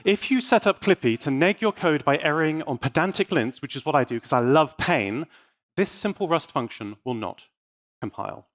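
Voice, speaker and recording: A man with a fairly high voice presents a technical talk at a conference. His intonation swings in a very lively way. He addresses the listeners directly, with playful, wry asides woven into prepared material. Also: moderate pace. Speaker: conference speaker. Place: conference stage.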